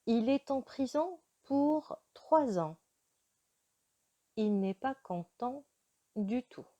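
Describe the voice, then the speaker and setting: A woman teaching a beginner French lesson, speaking slowly and carefully with frequent hesitations. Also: fairly high pitch, expressive, dark tone, highs cut off. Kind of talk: slow beginner language lesson.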